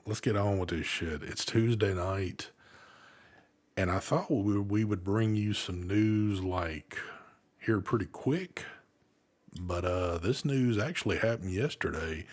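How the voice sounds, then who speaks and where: Deep-voiced laid-back host. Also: male voice, slow pace. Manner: laid-back, swears casually, chuckles often. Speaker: live vape show host. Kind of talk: casual live-stream chat.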